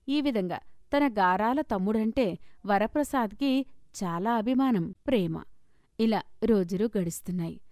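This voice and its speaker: Casting: female storyteller for scripted moral tales, medium pitch, dry studio-like sound. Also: a little mellow, no echo.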